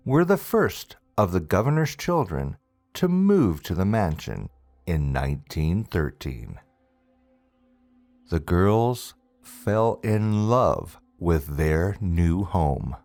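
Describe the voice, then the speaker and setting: A man with a fairly low voice tells a scripted ghost story at a very slow, deliberate pace, pausing for drama. His delivery swings widely in pitch, over a music bed. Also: darkish tone, no echo. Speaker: storyteller, narrator. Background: background music.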